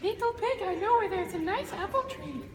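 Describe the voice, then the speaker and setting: A man voicing a hungry, blustering storybook wolf who coaxes and threatens in loud, drawn-out falsetto calls. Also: expressive. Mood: coaxing. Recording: noisy, echoey hall recording.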